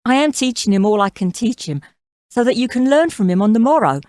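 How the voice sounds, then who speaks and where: A woman's voice: a little high, animated, fairly quick, darkish tone, no room sound, lightly acted. A woman playing a flirtatious, scheming mother-in-law in her thirties in an audio drama, coy and self-assured. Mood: persuasive, assured. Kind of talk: audio drama character acting.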